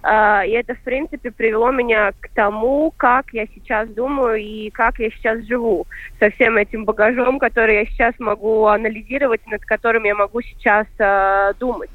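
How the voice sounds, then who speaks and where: A young woman from Latvia, a filmmaker speaking as a guest in a panel discussion, answering thoughtfully with frequent pauses and fillers. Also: fairly high pitch, steady tone, dry recording. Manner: thoughtful, hesitant, many fillers. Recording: dry, tinny phone-like line.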